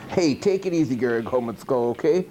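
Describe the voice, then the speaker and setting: Man acting as goofy monster TV host, mellow voice, lively swooping pitch, folksy slangy rambling, noisy old VHS tape. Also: fast, lightly acted. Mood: riled, rambling. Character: goofy, rambling monster hosting a horror movie show. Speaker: horror movie show host. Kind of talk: comic movie commentary.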